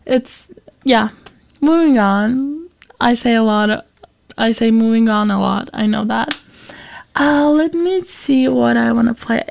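High, creaky-voiced young woman radio host. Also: a little slow, a little mellow. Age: young adult. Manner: rambling, self-deprecating. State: recovering from a week of sickness. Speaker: student radio show host. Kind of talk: casual music show chat.

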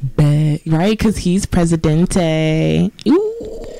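A woman's voice: on the low side, wildly swooping pitch, extremely slow, gravelly, mellow, no echo. A woman about twenty with a southern accent, co-hosting a college radio show in casual, giggly chat full of slang. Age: 20s. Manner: chatty, giggly, loose slang. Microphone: studio microphone.

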